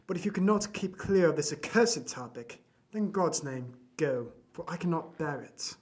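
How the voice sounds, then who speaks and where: An embittered old doctor nearing death , acted by a man for an audio drama. His voice sits a little high, and he speaks formally and with emphasis, tired of living. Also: lively intonation, normal speed, close to an everyday voice. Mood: bitter, agitated. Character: dying, embittered old male doctor, weary of life.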